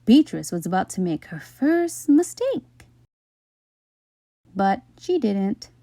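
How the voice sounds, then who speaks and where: A woman reading a children's bedtime story aloud in an expressive narrating style. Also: medium pitch, takes it slowly, dry recording, studio quality.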